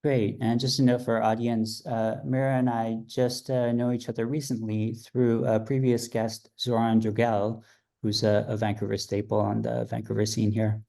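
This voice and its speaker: A man hosting a podcast, working through a numbered list of questions in a brisk, steady way. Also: lowish voice, creaky. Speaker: podcast host.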